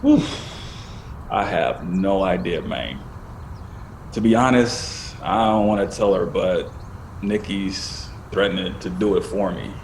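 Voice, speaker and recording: A man's voice: deep voice, slow, slight background noise. A man acting in an audio drama as a conflicted young man casually confiding his troubles to a friend. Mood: uneasy. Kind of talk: naturalistic scripted audio drama.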